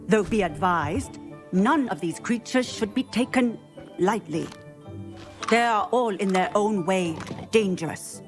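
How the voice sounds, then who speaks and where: A woman voicing a brisk, stern teacher of magical creatures in a game cutscene. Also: mid-range voice, close to an everyday voice. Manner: brisk, instructive.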